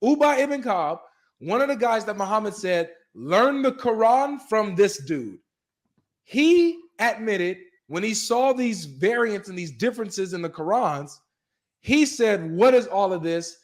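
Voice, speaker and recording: A man's voice: high voice, big pitch swings, measured pace. A man co-hosting a casual religious debate chat, emphatic and urging viewers on, often ending phrases with "man". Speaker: co-host.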